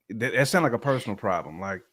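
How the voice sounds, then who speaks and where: A man's voice: mid-range voice, lively intonation, a little fast, a bit bright. A man giving casual reaction commentary as a co-host.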